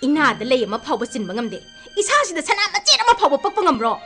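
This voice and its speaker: A woman's voice: high voice, big pitch swings, very fast, bright, forceful tone, heavily acted. A woman in a radio play, playing a discontented, ambitious village wife with a nagging, emphatic manner. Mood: exasperated. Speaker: radio drama actress. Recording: music under the voice.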